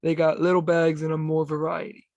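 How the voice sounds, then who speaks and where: A young man with a raspy voice, co-hosting a podcast in laid-back, slangy casual chat. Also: on the high side, completely flat delivery, brisk, a little mellow, lo-fi sound, slight background noise. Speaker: podcast co-host.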